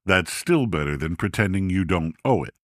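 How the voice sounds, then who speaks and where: A man voicing a calm, blunt, matter-of-fact accountant in a podcast story. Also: low-pitched, dry recording, studio quality, voice pushed down. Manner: measured, blunt.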